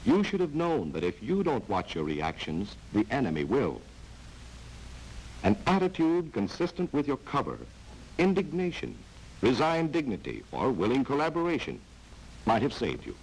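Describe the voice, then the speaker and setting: A man playing a sardonic, taunting narrator in a measured, dramatic 1940s spy training film. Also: medium pitch, wildly swooping pitch, darkish tone, husky, exaggerated voice, poor audio. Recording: hissy vintage 1940s film soundtrack.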